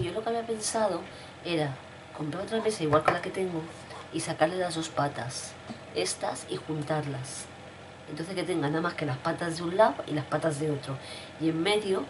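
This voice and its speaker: A middle-aged woman with a cold and a rough throat, chatting casually to her viewers from a room at home, repeating herself as she talks. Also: low voice, background noise. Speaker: vlogger. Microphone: webcam at desk.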